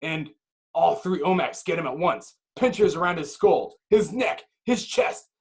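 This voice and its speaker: A man's voice: on the high side, lively intonation, a little slow. A man narrating a screenplay's action with intense, clipped dramatic phrasing. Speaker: narrator reading stage directions.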